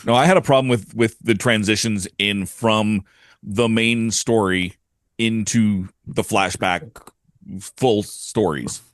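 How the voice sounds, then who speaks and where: Lower-voiced man in casual review chat. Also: steady tone, slow, no room sound. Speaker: podcast panelist.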